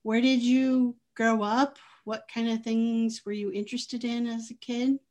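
Host: woman, slightly higher voice, unhurried chatty questions, laughs easily. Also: level tone, a little mellow. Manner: chatty, easy laughter, uses "like" a lot. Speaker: podcast host. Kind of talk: casual interview.

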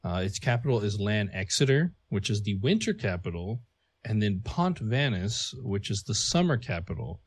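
A man's voice: on the low side, very animated, moderate pace. A man whose first language is not English, co-hosting a casual podcast and talking through notes with many fillers. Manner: chatty, filler-heavy, laughs at own jokes. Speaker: podcast co-host. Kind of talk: casual podcast lore talk.

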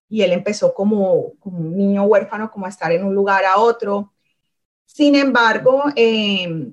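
A woman with a Colombian accent from Cali tells her own story in a medium-pitched voice. She speaks casually as an interview guest, thinking aloud in a reflective way with halting pauses. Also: lo-fi sound.

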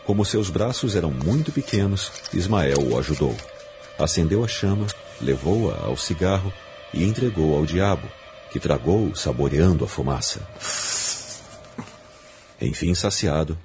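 Deep male narrator reading a fantasy audiobook, dramatic delivery, bit of music underneath. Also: animated, measured pace.

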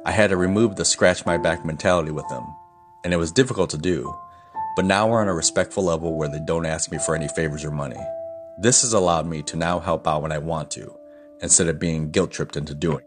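Brief: 40s man, very deep voice, steady delivery, music bed. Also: brisk. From United States. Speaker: interviewee sharing a story. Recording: voice with clear music underneath.

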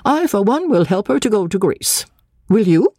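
A woman's voice: mid-range voice, sing-song, normal speed, warm tone, dry recording, studio quality, pitched above the performer's own voice. A woman reading a storybook as a prim, fretful spinster cousin, martyred, secretive and intense. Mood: prim, aggrieved. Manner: evasive, intense, self-pitying, sometimes dropping to an urgent whisper.

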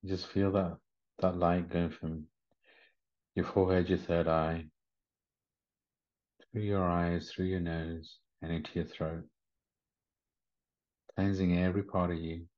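A man leading a guided meditation in a gentle, soothing manner, with short unhurried instructions. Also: low-pitched, flat delivery. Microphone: video call. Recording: slightly muffled video call audio.

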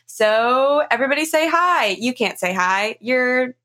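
A woman's voice: high voice, animated, measured pace, sharp tone. A woman hosting a podcast interview in a casual, effusive way, repeating words for emphasis. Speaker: podcast host.

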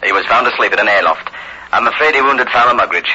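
An actor playing a dutiful, deferential police sergeant reporting over the telephone in a 1960s radio drama. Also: male voice, on the low side, fast, bright, forceful tone, breathy edge, exaggerated voice. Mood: dutiful, brisk. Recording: dull, hissy radio, telephone sound.

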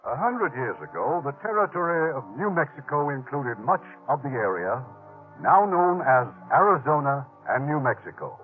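A man hosting a 1950s radio drama, narrating from a script with a dramatic, deliberate storytelling delivery. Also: a little high, big pitch swings. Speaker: host and producer. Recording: tinny vintage radio with music.

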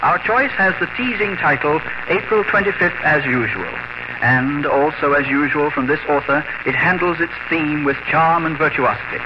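Host: measured, cultivated male narrator, slightly high voice, dull old broadcast with music. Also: very noisy. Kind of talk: formal radio introduction and narration.

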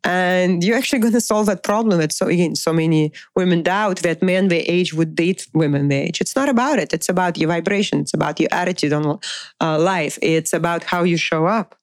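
A woman's voice: fairly low pitch, brisk, no room sound. A middle-aged woman giving an informal, unscripted motivational talk as a dating coach, emphatic and repetitive, putting rhetorical questions to the listener.

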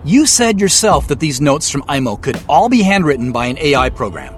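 A man's voice: a little high, big pitch swings, brisk, faint music behind. A man playing a wary, dry-witted amateur sleuth in an audio drama, guarded and terse in his delivery. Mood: uneasy, suspicious. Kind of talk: naturalistic audio drama acting with first-person narration.

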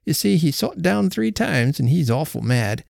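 A man's voice: a little high, very animated, normal speed, creaky, darkish tone, no room sound, clean studio sound, pitched above the performer's own voice, exaggerated voice. A man voicing a timid, anxious old farm wife who speaks tremulously in rustic dialect. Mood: anxious fretting.

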